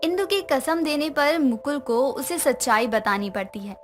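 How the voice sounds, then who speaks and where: Female storyteller, high voice, scripted moral-tale narration, light music behind. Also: lively intonation, normal speed.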